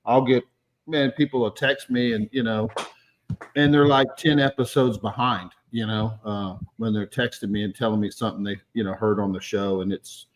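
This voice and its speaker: A man's voice: fairly low pitch, steady tone, normal speed, slight background noise, low-quality recording. A man chatting bluntly and easily on a livestream call. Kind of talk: casual livestream chat.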